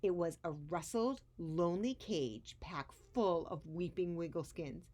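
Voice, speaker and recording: Slow-paced, low-pitched woman reading stories. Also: lively intonation, mellow. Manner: dramatic pauses and emphasis on key words. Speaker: children's story reader. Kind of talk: expressive picture-book read-aloud.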